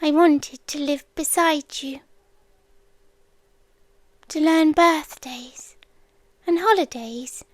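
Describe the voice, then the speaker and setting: British woman doing a shy ancient creature girl asmr, soft high whispery voice, really slow and devoted, super dry close mic. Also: no echo. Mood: wistful, tender. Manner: soft, slow, hesitant, gentle. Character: ancient lonely female creature, tender, devoted, shyly protective. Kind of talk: whispery intimate ASMR roleplay. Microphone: close microphone, dry.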